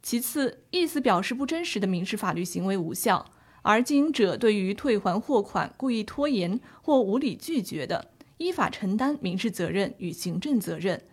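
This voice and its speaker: Woman playing an earnest young grandchild, medium pitch. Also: animated, moderate pace, breathy edge. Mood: reciting carefully. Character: concerned grandchild, earnest and indignant, seeking legal advice. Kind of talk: scripted legal-education audio drama.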